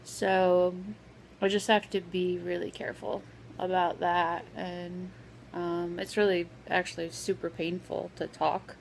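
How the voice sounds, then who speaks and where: A woman vlogger talking casually with a sore, scratchy throat, pausing often with lots of "um"s. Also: fairly low pitch, flat delivery, creaky. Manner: hesitant, full of "um" fillers. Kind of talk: casual vlog update.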